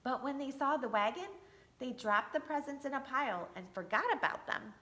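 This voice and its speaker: A woman's voice: high-pitched, animated, moderate pace, pushed and bright. A woman reading a picture book aloud to children for a church, with chatty asides to her young listeners. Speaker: church storyteller. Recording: echoey room.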